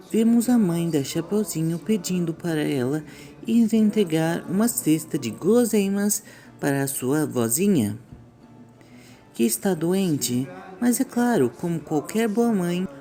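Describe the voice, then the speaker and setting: A woman's voice: lowish voice, warm tone. A Brazilian woman hosting a scripted online commentary on horror animation, with a theatrical storytelling manner. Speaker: YouTube host.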